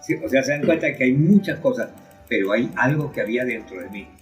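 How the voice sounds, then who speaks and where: An older man speaks as a guest poet in an interview. His voice is mid-pitched and his delivery is reflective and halting, as he feels for his words. Faint music plays behind him. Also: lively intonation.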